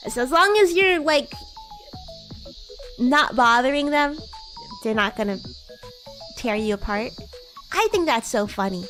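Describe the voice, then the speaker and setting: A woman's voice: high voice, animated, a little slow, long pauses, fairly bright tone, soft background music, low-quality recording. A woman voicing a bratty, sweet young demon girl in casual roleplay chat, with hesitant, girlish delivery. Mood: amused. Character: bratty, sweet young female demon classmate from another world. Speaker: VTuber streamer.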